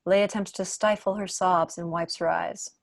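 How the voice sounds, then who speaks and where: A woman with a fairly low voice narrates a script at a table read. She reads out stage directions over a video call, with a dry, close sound. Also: steady tone, normal speed, creaky, dry recording. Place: indoor room on a video call.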